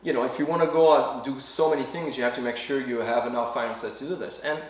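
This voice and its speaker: A man's voice: mid-range voice, quick pace. A middle-aged man from France with a French accent, a guest chatting casually and a little hesitantly on a podcast. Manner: relaxed, hesitant, with fillers and restarts. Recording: echoey room, distant mic.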